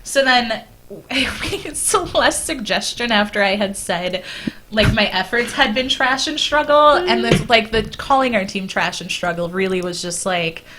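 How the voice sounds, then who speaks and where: A woman's voice: mid-range voice, unhurried, noisy background. A young woman co-hosting a podcast in casual group chat, telling stories and swearing casually into a microphone. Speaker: podcast co-host. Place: small indoor room, round table. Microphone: podcast mic on boom arm.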